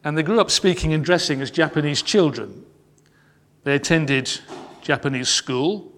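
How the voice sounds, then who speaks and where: A man recounts history in the slow, solemn cadence of a sermon, pausing and slowing on key phrases. His voice sits slightly high for a man. The sound carries a long reverb, as in a large church. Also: fairly bright tone. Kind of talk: sermon-style storytelling.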